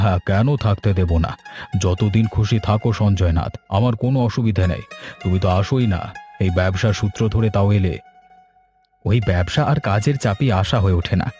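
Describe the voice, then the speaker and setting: A man's voice: on the low side, darkish tone, natural voice. A man playing a plain-spoken village man in scripted cartoon dialogue. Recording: music behind the voice. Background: background music.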